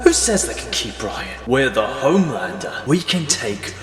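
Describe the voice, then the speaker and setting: A man's voice: mid-range voice, very animated, moderate pace, natural voice. A man voicing the sinister, scornful mirror-self of an arrogant supervillain in an audio drama, coaxing and contemptuous. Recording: long echoing reverb, prominent music.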